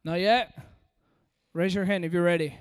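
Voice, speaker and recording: A man from Peru with an American-sounding accent preaches in a large hall. His voice sits high and rings with a long reverb. He talks directly to the crowd and coaxes them along. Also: steady tone, normal speed, clean tone. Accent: American-sounding English. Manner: direct and coaxing, addresses the audience. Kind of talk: sermon.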